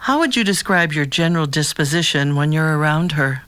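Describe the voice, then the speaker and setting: An actress playing a calm, probing therapist with quiet humor, measured and professional, in an audio drama. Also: female voice, low voice, no echo. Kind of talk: naturalistic audio drama dialogue.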